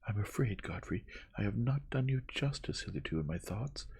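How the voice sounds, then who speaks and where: A man's voice: on the low side, animated, moderate pace, creaky, a little mellow, breathy edge, pitched above the performer's own voice, full character voice. A man voicing a passionate, frantic young lady in a dramatized audiobook of a Victorian novel, vehement and emphatic. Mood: feverish, remorseful.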